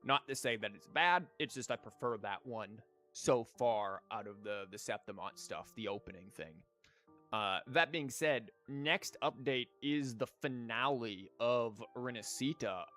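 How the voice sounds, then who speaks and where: A man's voice: medium pitch, a bit bright. A young man hosting a gaming livestream, chatting casually and rambling with plenty of fillers as he wraps up. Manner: rambling, lots of fillers and restarts. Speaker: livestream host. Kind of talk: casual gaming stream wrap-up.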